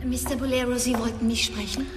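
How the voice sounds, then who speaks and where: An actress playing a nervous, deferential young clairvoyant employee in a radio drama, hesitant and polite. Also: female voice, a little high, steady tone, fast, over loud music, lightly acted.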